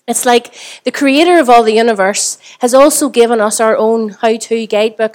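A woman pastor with a Northern Irish accent, preaching a sermon to her congregation in a chatty way, hoarse from a cold. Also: a little high, darkish tone. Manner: chatty, asks the congregation rhetorical questions. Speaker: lead pastor. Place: church service.

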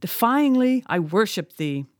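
A woman's voice: medium pitch, big pitch swings, unhurried, dry recording, studio quality, voice pushed up. A woman voicing a defiant old male sea captain, declaiming in grand, impassioned speech. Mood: exalted.

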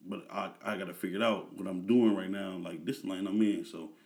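A man in his thirties with a fairly low voice, chatting casually as an interview guest. He talks in a relaxed, slang-filled way, full of fillers. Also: a little fast. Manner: laid-back slang-heavy talk with frequent fillers.

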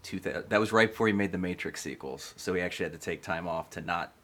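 A man's voice: low-pitched, fast talker, a bit bright. A man chatting casually with friends, explaining details at length. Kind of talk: casual group chat.

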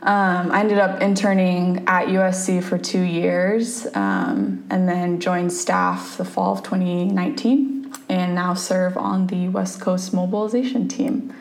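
A woman's voice: on the low side, measured pace, creaky. A young adult woman from Japan, speaking as a ministry guest in a conversational interview, thoughtful and full of fillers and restarts. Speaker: campus ministry staff, guest. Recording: roomy echo, faint background noise.

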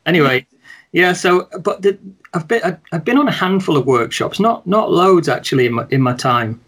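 A man talking as a guest in a casual chat, rather monotone, measured and hesitant with many restarts. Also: fairly high pitch. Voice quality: somewhat monotone. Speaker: guest, wedding photographer.

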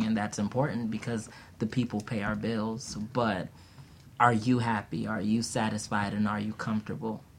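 A man with a lowish, flat-pitched voice speaks reflectively in a casual panel talk. He sounds earnest, and a faint low rumble of noise sits behind him. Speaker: singer, panel guest.

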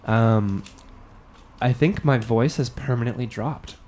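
A man's voice: medium pitch, slow, a little mellow, a little hiss or hum. A young man hosting a podcast in casual chat, swearing and joking with friends. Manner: swearing, laughing, jokey. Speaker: podcast host.

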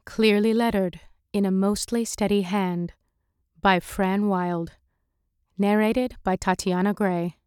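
Female fiction narrator: measured, intimate, mellow tone, medium pitch, deliberate pacing. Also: steady tone, clean tone, no room sound, studio quality. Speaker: story narrator. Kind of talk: scripted literary fiction narration, second person.

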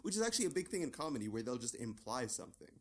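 Canadian man with a lively, joking voice. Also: medium pitch, racing. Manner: joking, playful, slips into silly voices. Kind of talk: casual podcast chat.

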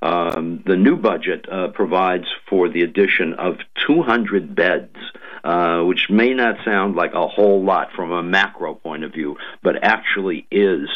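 A man, a lawmaker guest on a radio show, speaking slowly with many ums and uhs. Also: sits low. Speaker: state legislator guest. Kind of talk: radio interview, policy talk. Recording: muffled lo-fi radio audio.

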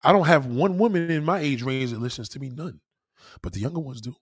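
A man's voice: mid-range voice, a little fast, slightly rough, no echo, studio quality. A man giving a blunt, profane opinion monologue in a casual, lecturing way, repeating points for emphasis. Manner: emphatic, repeats himself for stress.

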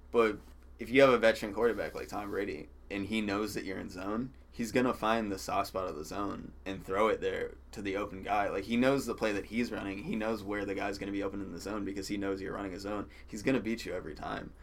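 A young man chatting casually on a podcast, soft-spoken and patient as he explains, laughing often. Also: on the low side, steady tone, fast, creaky, a little hiss or hum. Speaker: comedian guest.

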